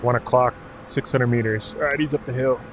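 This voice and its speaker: A man's voice: medium pitch, brisk, noisy background. A man from Alaska streaming a helicopter game, chatting casually while calling out directions and ranges. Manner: relaxed and profane, calling out clock directions and ranges. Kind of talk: casual gaming livestream commentary.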